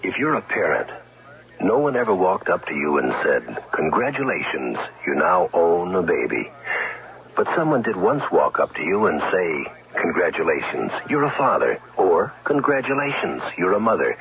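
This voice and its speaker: Male PSA announcer: very low, earnest, varied intonation, dulled vintage radio sound. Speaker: public service announcer. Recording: dull vintage radio recording.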